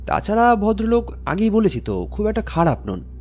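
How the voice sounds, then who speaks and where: A troubled office worker in a horror audio story. His voice is fairly high and very animated in pitch. He comes across as earnest, a little hesitant and respectful. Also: moderate pace, faint music behind. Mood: pensive, puzzled. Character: Kishori, troubled male office-going friend, earnest and hesitant. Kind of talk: Bengali audio story acting.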